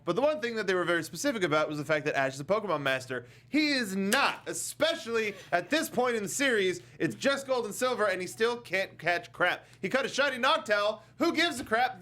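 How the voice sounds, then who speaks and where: A man hosting a casual, chatty film review panel, talking in a halting, rambling way with self-corrections. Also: high-pitched, sing-song, bright, forceful tone. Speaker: host of a film review show.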